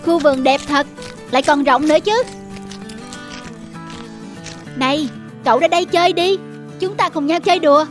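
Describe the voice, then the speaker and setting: A woman's voice: sits high, fairly even intonation, a little fast, faint music behind, natural voice. A woman voicing a friendly, eager young dog in a cartoon, with an earnest, coaxing manner. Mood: cheerful, coaxing. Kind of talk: dubbed cartoon acting.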